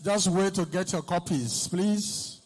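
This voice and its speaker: A man's voice: high-pitched, slow pace, a little mellow. A man with a Nigerian accent co-hosting a live event and making announcements in an echoey hall. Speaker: co-host at a book launch.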